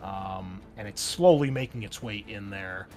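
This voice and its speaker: A man narrating a tabletop roleplaying game as game master, improvising in a halting, conversational way. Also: fairly low pitch, darkish tone. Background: background music.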